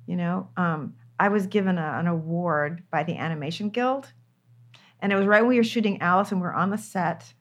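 A woman's voice: on the low side, fairly quick. An older woman, a screenwriter, talking candidly in an interview, stressing her points by repeating words. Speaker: screenwriter guest.

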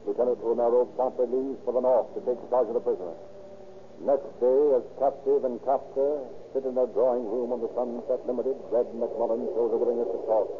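A man's voice: medium pitch, steady tone, a little fast. An American man narrating and announcing for a 1930s radio show in a formal, dramatic, declamatory way. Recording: tinny old radio broadcast, faint noise.

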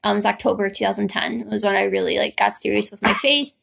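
Young female host, conversational and informal, medium pitch with noticeable gravelly fry. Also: quick pace, fairly bright tone. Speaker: host telling the couple's story. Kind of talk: casual chat.

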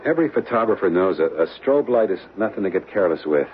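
A low, lively-pitched reluctant husband from an old radio mystery play. He is a tourist with a camera, halting and dismissive of worry. The broadcast is dull, with faint noise. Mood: casual, reassuring. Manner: hesitant, halting, brushing off worries. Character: American husband, amateur photographer tourist, reluctant and reassuring. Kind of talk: old-time radio drama acting.

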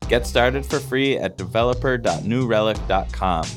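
A man with a medium-pitched voice reads a scripted podcast sponsor spot. He delivers it as a host, with guitar music playing clearly behind him. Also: normal speed. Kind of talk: scripted ad read.

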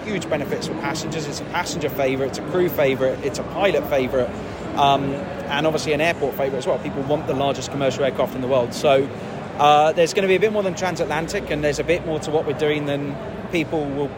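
Confident man amid loud noise. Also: a little high, fairly even intonation, fast talker. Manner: confident, promotional, repeats himself. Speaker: airline founder guest. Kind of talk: business interview.

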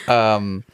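A man in his fifties co-hosting a casual podcast chat, relaxed and playful, with frequent short agreements. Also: lowish voice, smooth, no room sound, studio quality. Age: 50s. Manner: relaxed, playful, backchannels a lot. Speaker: podcast co-host.

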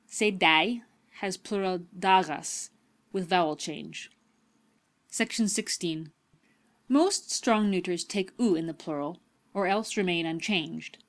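A woman reading a language textbook aloud as a volunteer narrator, with careful, instructional enunciation. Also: mid-range voice. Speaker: volunteer audiobook reader.

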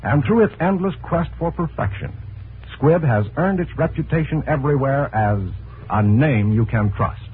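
1940s network announcer, American male: formal scripted delivery, animated intonation, thin band-limited broadcast sound. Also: on the high side, moderate pace, background noise. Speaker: radio announcer. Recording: tinny 1940s radio broadcast.

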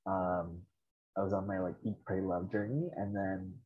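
A man's voice: sits low, steady tone, moderate pace, slightly rough, phone-quality sound. A young man, born in the Philippines and raised in the US, telling his personal story as a podcast guest in a candid, reflective way. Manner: reflective, candid, laughs at himself.

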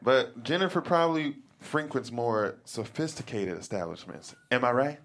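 A man's voice: medium pitch, animated, normal speed, a bit bright. A man playing a flustered nerdy teenage boy. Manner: rambling, flustered. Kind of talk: table read.

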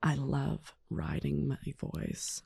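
Whispering husky woman with gravelly fry. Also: low-pitched, level tone, takes it slowly, no echo, faint music behind. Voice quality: strains her voice. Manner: soft whispering, frank and crude. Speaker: podcast co-host. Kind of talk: whispered ASMR chat. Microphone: special ASMR microphone.

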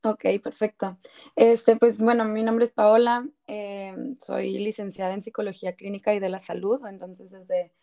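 A woman with a Mexican Spanish accent, a clinical psychologist speaking as a guest in a casual podcast interview, soft-spoken and hesitant. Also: mid-range voice, level tone, normal speed.

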